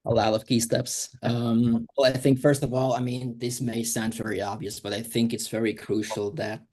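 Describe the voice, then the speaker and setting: A man from Belgium, a guest expert, answering interview questions in a measured, explanatory way. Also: medium pitch, flat delivery, darkish tone.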